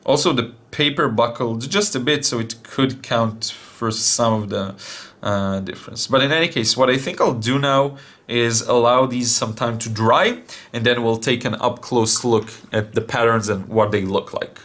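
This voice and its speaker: A young man demonstrating watercolor paints, talking casually with many fillers, his voice still a little deep after being sick. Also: normal speed. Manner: relaxed, thinking aloud with many fillers. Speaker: art channel host.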